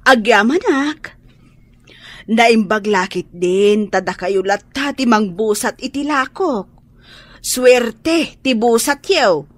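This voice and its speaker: A woman's voice: fairly high pitch, lively intonation, slow, dry recording. An actress with an Ilocano accent playing a kindly older woman who sells fish in a radio drama. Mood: grateful.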